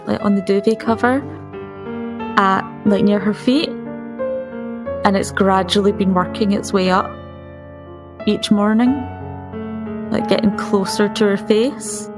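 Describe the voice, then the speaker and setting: A woman playing a tabletop game, describing her character in conversational narration. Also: mid-range voice. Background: piano or keyboard music.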